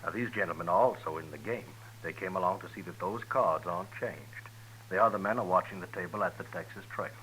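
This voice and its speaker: An insistent gambling con man with a very deep, forceful voice that pushes every point. Faint hiss of old radio sits beneath. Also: quick pace, low-quality recording. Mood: urgent, persuasive. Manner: insistent, persuasive. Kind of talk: 1950s radio drama acting.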